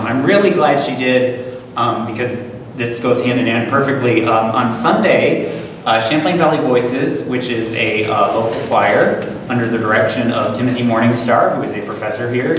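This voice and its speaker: A man's voice: mid-range voice, moderate pace, background noise. Hesitant young man, echoey distant hall. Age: young adult. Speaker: choir member announcing a concert. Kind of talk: informal announcement. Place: lecture room, at a podium. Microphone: distant camera microphone.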